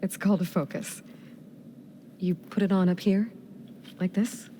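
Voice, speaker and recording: An actress playing a frank, loyal young heroine in a video game, speaking earnestly and directly. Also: female voice, medium pitch, steady tone, measured pace, dark tone, a little hiss or hum, natural voice. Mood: reassuring. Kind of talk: video game cutscene acting.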